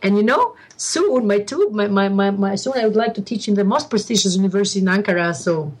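A woman's voice: mid-range voice, expressive, brisk, breathy edge. A woman from Albania, a guest in a casual interview, who laughs a lot and often restarts her sentences.

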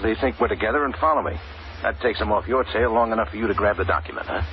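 A man playing a plucky, eager newspaper reporter in a vintage radio adventure, brisk and slangy. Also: fairly low pitch, lightly acted. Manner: brisk, slangy, matter-of-fact. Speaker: radio drama actor. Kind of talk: 1950s radio adventure acting. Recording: muffled, faintly noisy old radio recording.

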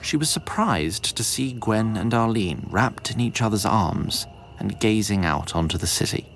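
A man's voice: on the low side, expressive, unhurried, slight background noise. A man narrating scripted audio fiction as a dramatic storyteller.

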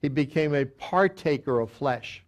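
A man's voice: a little high, measured pace, dull audio. A man teaching a Bible study as a pastor, talking with frequent restarts and fillers and reading scripture aloud.

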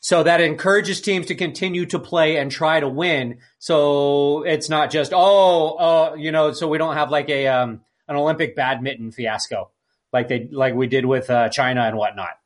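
A man's voice: on the high side, steady tone, normal speed. A man co-hosting a podcast, chatting casually in a hesitant, rambling way. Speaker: podcast co-host. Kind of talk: casual panel chat.

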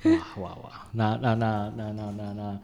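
A man's voice: fairly low pitch, completely flat delivery, moderate pace, mellow, highs cut off. A man with a Taiwanese accent hosts a casual chat, often repeating short words in agreeing bursts. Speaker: podcast host. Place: small partitioned dessert cafe in an alley.

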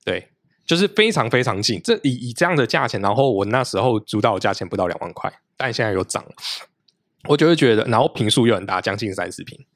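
Fast-talking man with a low voice. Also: expressive, a bit bright, dry recording, studio quality. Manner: explains at length, practical and detailed. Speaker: podcast guest. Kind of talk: casual chat.

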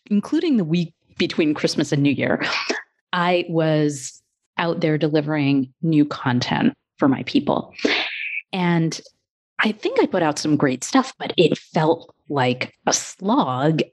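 Measured, lower-pitched woman, speaking slowly. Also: darkish tone. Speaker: business coach, podcast guest. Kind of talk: reflective interview conversation.

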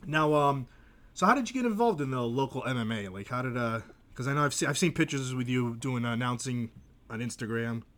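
A man's voice: mid-range voice, expressive, a bit bright. A man hosting a podcast, asking friendly questions in a casual interview. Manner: friendly, interested, quick affirmations. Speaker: podcast host.

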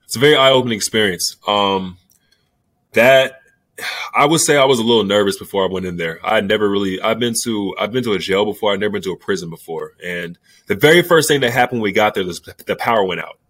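A man's voice: on the low side, fast talker, a bit bright, dry recording. A man in his twenties, a pro basketball player, giving candid interview answers in a laid-back, rambling way full of fillers. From New York.

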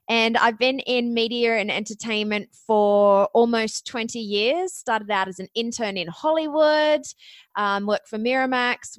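A woman in her forties with an Australian accent, chatting as a podcast guest and telling her story in a quick, lively way. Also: mid-range voice, no room sound. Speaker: podcast guest, media producer. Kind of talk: casual interview chat.

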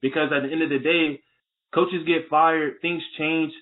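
A man's voice: on the high side, steady tone, moderate pace. A young man talking as a podcast guest, laid back and casual with a lot of "like". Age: young adult. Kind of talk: casual interview chat. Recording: tinny phone-like call audio.

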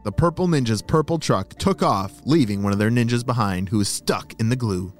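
A man hosting a children's story podcast, narrating in an animated, exclamatory way and speaking straight to young listeners. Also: mid-range voice, a little fast, soft background music.

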